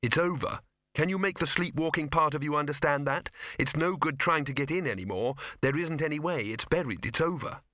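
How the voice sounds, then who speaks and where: An exasperated, sarcastic young man in a storybook, read by a male narrator. The voice is a little raised, bright and forceful. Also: on the high side, a little fast, pitched above the performer's own voice. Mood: firm. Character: young man, exasperated, sarcastic, quick to smooth things over. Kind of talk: audiobook character voice.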